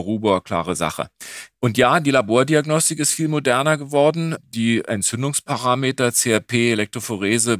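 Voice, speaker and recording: Male professor as expert guest, lower-pitched, conversational and emphatic, recorded close and dry. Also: fairly bright tone, no room sound. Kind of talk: explanatory expert talk.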